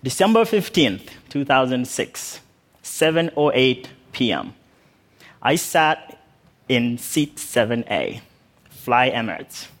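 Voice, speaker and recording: A man's voice: mid-range voice, sing-song, slow. Man telling his story on stage. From Uganda. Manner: humorous, vivid storytelling. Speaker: speaker. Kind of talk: storytelling talk. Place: stage in a large hall.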